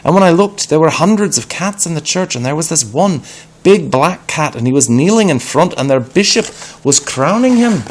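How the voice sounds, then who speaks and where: A man voicing an excitable, alarmed boy from a storybook, eager and insistent in manner. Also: fairly high pitch, moderate pace, a little hiss or hum, voice pushed up, natural voice. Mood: amazed, excited. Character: excitable, alarmed boy telling wild tales.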